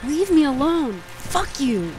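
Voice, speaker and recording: Young female lead for amateur radio drama, played by a woman: scared, defiant, high voice that swings widely, faint music underneath. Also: big pitch swings, slow, exaggerated voice, rough recording. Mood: angry, scared. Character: frightened young woman driver, wary, then defiant. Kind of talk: amateur radio play acting.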